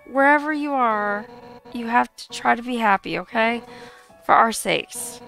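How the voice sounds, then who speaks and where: A woman voicing a brash, warm-hearted female warrior fish creature in a blunt, informal way for a video game. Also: fairly high pitch, gravelly, poor audio. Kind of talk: video game dialogue reading.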